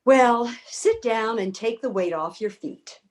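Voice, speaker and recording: A woman's voice: fairly high pitch, measured pace, close to an everyday voice. A woman playing a bossy, practical older woman in a comic readers theater piece, impatient and scolding but caring underneath. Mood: exasperated, scolding. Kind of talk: comedic readers theater acting.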